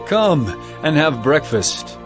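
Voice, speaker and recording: A calm male teacher from a scripture drama, tender but full of authority. His delivery is solemn and deliberate, with an expressive, moving pitch. Music plays clearly behind him. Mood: calling out, inviting.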